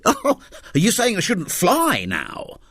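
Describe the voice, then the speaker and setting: This is a prickly, scornful younger man from a storybook, acted out by a male reader. He sneers and snorts his indignation, leaping up and down in pitch. The sound is close and dry. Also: huge pitch swings, takes it slowly, a bit bright, no room sound, full character voice. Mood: needled, indignant.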